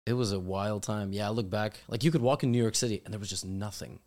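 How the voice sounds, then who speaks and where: A man who is a guest on a podcast, telling lively anecdotes in casual chat. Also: on the low side, fast talker. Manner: storytelling, animated, quotes relatives in voices.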